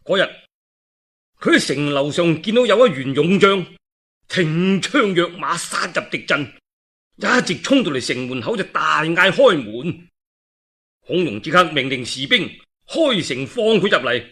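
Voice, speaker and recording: A man with a Cantonese accent telling a historical tale in a dramatic, expressive way with colloquial asides. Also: on the high side, measured pace, slightly rough. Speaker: storyteller. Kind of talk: animated traditional storytelling of a historical novel.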